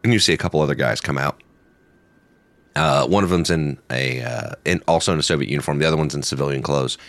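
A man's voice: extremely low pitch, quick pace, fairly bright tone, no echo. A man narrating as game master in a loose, rambling way.

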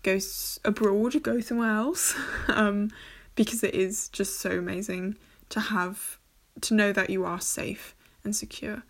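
A woman from Germany hosts a casual solo podcast, talking at an unhurried, slow pace. She sounds a bit nervous, rambling along with lots of fillers and restarts. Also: mid-range voice, fairly even intonation. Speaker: podcast host.

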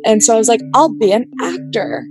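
A woman's voice: on the high side, expressive, fairly quick. A woman whose first language is Vietnamese, from New Mexico, telling her life story as a podcast guest in a casual, thoughtful way with many fillers. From New Mexico, USA. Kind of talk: reflective personal storytelling. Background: soft music.

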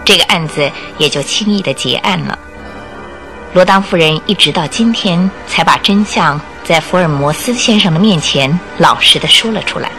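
A woman narrating a radio detective drama in a dramatic, expressive storytelling style from a script. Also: lowish voice. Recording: music under the voice.

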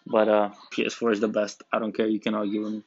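Young man, from Romania, late teens, lowish voice, casual rambling podcast talk, dull dry recording. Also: completely flat delivery, quick pace, darkish tone, husky, no echo. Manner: laid-back, rambling, joking. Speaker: student podcast host. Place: back room of a school.

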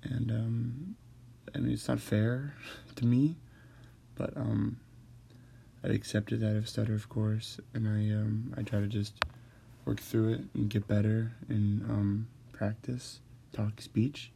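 A man who stutters, talking hesitantly with many pauses and fillers as he hosts a personal monologue. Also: fairly low pitch, level tone, vocal fry, warm tone, a little hiss or hum. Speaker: host.

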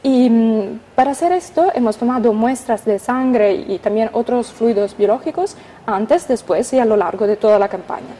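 A young woman with an Italian accent, a physician speaking as a radio interview guest, careful and halting, with many filler sounds. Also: on the high side, mellow. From Italy.

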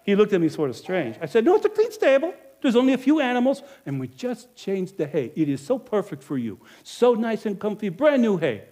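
A man performing a greedy, self-justifying innkeeper in a first-person monologue, swinging between loud bluster and hushed confession. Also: fairly high pitch, lively intonation, fast, hardly any pauses, lightly acted. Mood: salesy. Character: greedy self-justifying male Bethlehem innkeeper, middle-aged. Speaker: storyteller performing a character monologue.

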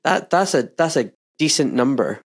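Man with a stuffy cold, relaxed host. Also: mid-range voice, normal speed, slightly rough. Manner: relaxed, chatty, friendly questions. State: congested from a cold. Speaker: interview host. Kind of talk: casual interview chat.

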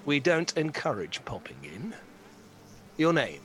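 A man's voice: fairly high pitch, very animated, a little slow, a bit bright, slight background noise. An actor playing a pompous, condescending beekeeping club stickler in an audio drama, patronising and pedantic in how he talks. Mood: stiff, dismissive.

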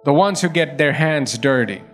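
A man preaching a sermon in a high voice, driving his points home through repetition. Soft music plays faintly behind him. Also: animated, normal speed. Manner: preaching with rising emphasis and repetition. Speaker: pastor.

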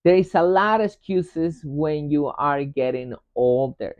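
High-voiced man in an echoey room. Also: unhurried. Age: 40s. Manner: emphatic, straightforward. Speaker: health coach, host. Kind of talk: motivational talk with personal anecdotes. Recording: boxy echoey room, dull lo-fi sound.

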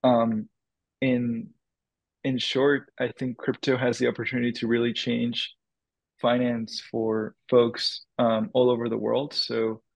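A man's voice: medium pitch, level tone, unhurried, vocal fry, dry recording, poor audio. A man from the Dominican Republic, a crypto startup founder, answering interview questions in a thoughtful way with many fillers and restarts.

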